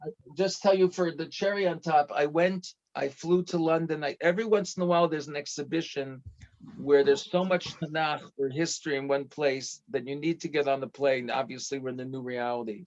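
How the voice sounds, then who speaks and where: A man in his sixties from the United States, a guest expert and tour guide, explaining things quickly and eagerly. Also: a little high, steady tone, darkish tone, highs cut off.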